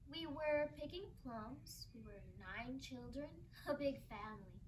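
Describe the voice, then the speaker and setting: A woman's voice: high-pitched, unhurried. A young woman student actor playing a migrant farm girl remembering family life in a theatrical oral history piece. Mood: reminiscing. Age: young adult. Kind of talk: theatrical two-person oral history storytelling. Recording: distant mic, faint room noise.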